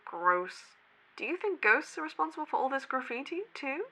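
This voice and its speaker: An actress playing a skeptical, sarcastic young college podcaster in a horror audio drama, dry and questioning. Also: female voice, sits high, sing-song, normal speed, bright, forceful tone, heavily acted. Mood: sarcastic, grossed out. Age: young adult.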